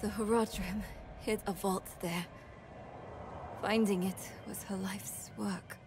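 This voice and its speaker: An actress playing a grieving, determined young woman in a dark fantasy video game, halting and subdued with a bitter edge. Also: mid-range voice, steady tone, noisy background. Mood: bitter sorrow. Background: game music.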